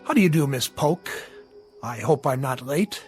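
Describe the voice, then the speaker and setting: An actor playing a polite, flattering gentleman caller with a formal, hesitant manner in a vintage television drama. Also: mid-range voice, normal speed, faint music behind, natural voice. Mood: courteous, admiring. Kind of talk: 1960s television drama acting.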